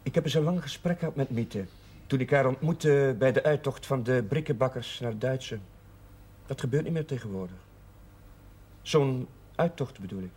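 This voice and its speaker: Male actor as devout young priest in a period TV drama: formal, earnest, slightly husky mid-range voice. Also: mid-range voice, moderate pace, a little mellow, lightly acted. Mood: calm, reflective. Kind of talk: 1970s Dutch television drama acting.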